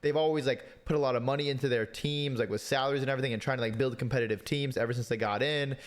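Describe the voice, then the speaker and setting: Male podcast host, casual chat, supportive, mid-pitched voice. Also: steady tone, rapid-fire. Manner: chatty, supportive, lots of "like".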